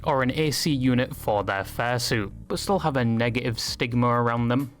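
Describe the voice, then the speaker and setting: A man's voice: medium pitch, normal speed, soft background music. A man reading scripted commentary as a video essayist, with a sardonic manner.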